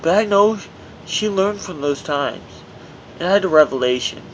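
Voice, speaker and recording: Male author narrating own memoir, slightly high voice, earnest, amateur recording with light background noise. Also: animated, moderate pace, rough recording. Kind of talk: scripted audiobook narration.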